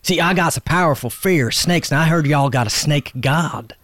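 A man's voice: on the high side, moderate pace, fairly bright tone, no room sound, lightly acted. A man playing a nervous, superstitious frontier settler in a radio drama, stammering and fretful, with a rural American accent. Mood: pleading, anxious.

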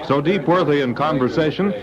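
A man's voice: on the high side, brisk, crowd noise. A man narrating an adventure serial as a 1940s radio announcer, formal and dramatic. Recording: dull, noisy vintage radio recording.

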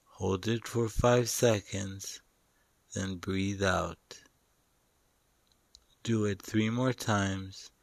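A man guiding a meditation in short, deliberate phrases with long pauses between them. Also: on the low side, steady tone, creaky, dry recording. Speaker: meditation guide. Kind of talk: guided meditation narration.

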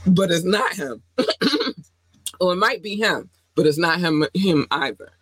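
Lively, slangy woman podcast host. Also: fairly low pitch, a little slow. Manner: animated, slangy, playful, repeats phrases. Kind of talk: casual podcast chat.

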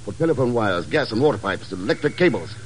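An actor playing a shrewd, matter-of-fact secret agent in a 1950s radio drama, speaking tersely and probingly. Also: male voice, mid-range voice, sing-song, fast talker, close to an everyday voice. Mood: probing, cool. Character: cool, clipped, shrewd male secret agent. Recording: noisy old 1950s radio recording.

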